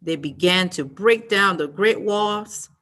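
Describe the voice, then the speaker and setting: A woman reading a story aloud at story time, slow and careful. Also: medium pitch, fairly bright tone. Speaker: storytime reader. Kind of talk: reading a picture book aloud.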